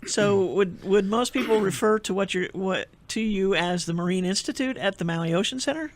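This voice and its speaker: A man's voice: high voice, moderate pace. A man from the Midwest hosting a radio interview show, chatty with rambling questions. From American Midwest.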